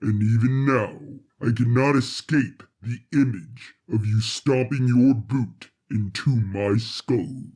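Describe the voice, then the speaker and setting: A man's voice: medium pitch, slow, darkish tone, breathy edge. A man voicing a vengeful robot villain in an audio drama, speaking with formal, imperious, weary menace. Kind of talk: scripted audio drama acting.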